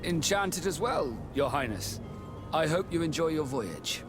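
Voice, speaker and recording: An actor playing a brisk, stern but courteous sea captain in a video game, speaking with formal authority. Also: male voice, on the high side, lively intonation, music in the background. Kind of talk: video game cutscene acting.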